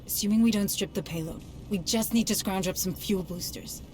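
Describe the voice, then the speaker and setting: A woman's voice: medium pitch, fairly quick, noisy background, lightly acted. A woman playing an earnest, determined deputy administrator in a sci-fi game cutscene. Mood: businesslike. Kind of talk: dramatic video game cutscene acting.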